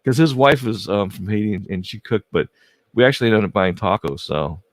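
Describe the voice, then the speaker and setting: A man's voice: on the low side, brisk, dry recording. A man hosting a casual livestream interview, friendly and prone to stumbling restarts. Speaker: host.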